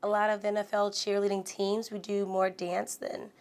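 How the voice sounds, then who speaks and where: Gravelly-toned woman cheerleader. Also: mid-range voice, level tone, normal speed. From Maryland. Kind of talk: interview testimony.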